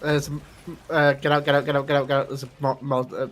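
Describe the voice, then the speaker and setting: A man chatting casually with friends while gaming, giving quick, excitable callouts. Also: mid-range voice. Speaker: co-player.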